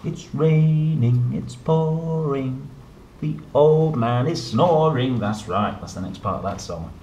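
A man in his thirties reading a picture book to young children, playful and expressive, with chatty asides. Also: mid-range voice, takes it slowly. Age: 30s. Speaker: storyteller, host reading children's books. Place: indoor room, seated at a table. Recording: roomy echo, some background noise.

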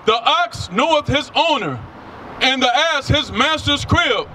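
A man reading Bible verses aloud outdoors, slow and deliberate. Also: very high voice, expressive, pushed and bright, distant mic, a little hiss or hum, low-quality recording. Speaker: scripture reader. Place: outdoors by a street.

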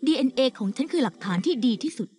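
A woman's voice: high-pitched, lively intonation, rapid-fire, mellow, slightly rough. An actress playing a cold, confident young woman in a dubbed TV melodrama, assertive and dismissive. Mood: coldly defiant.

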